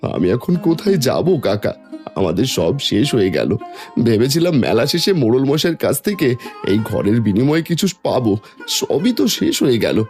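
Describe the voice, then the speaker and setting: A man voicing a humble, anguished village farmer in an animated fairy tale melodrama. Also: mid-range voice, moderate pace, soft background music. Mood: despairing. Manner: pleading, anguished.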